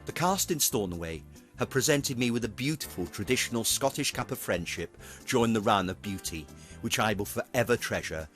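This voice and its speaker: A man's voice: mid-range voice, fairly bright tone. A middle-aged British man giving a scripted, reflective narration as a veteran stage performer. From United Kingdom. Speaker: veteran pantomime performer and presenter.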